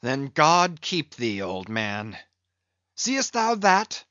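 A man's voice: mid-range voice, huge pitch swings, very slow, pushed and bright, no echo, muffled recording, full character voice. A man voicing a grieving, bitter whaling captain in an audiobook, with solemn, archaic, declamatory delivery. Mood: bitter, sorrowful.

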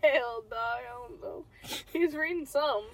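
A young woman co-hosting a podcast, reacting loudly and profanely in casual chat. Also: high-pitched, normal speed, crowd noise. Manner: loud, profane, reactive, animated. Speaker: podcast co-host.

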